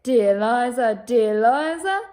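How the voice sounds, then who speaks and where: A woman voicing a hapless, helpless man from a children's storybook, with plaintive, drawn-out sing-song questions. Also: a little high, lively intonation, a little mellow. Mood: helpless complaint.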